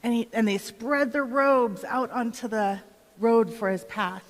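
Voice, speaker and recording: Excited woman of ancient Bethany, played by a church performer, fairly high voice, storytelling straight to listeners in a reverberant hall. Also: measured pace. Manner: storytelling, addressing listeners directly. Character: excited woman of Bethany, Lazarus's sister, witnessing Jesus. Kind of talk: dramatic monologue in a church performance.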